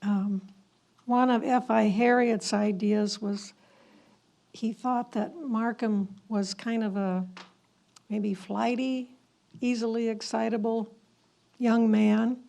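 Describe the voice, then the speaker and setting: A woman's voice: a little high, steady tone, takes it slowly, gravelly, long pauses, warm tone. A woman presenting local history in an informal, explanatory way.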